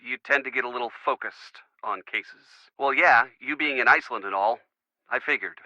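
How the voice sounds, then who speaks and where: Bright, wry young American boyfriend. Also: male voice, medium pitch, normal speed, no room sound, lo-fi sound. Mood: wistful, hurt. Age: young adult. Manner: wry, playful sarcasm. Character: easygoing, teasing young boyfriend of a sleuth. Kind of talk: naturalistic video game phone dialogue.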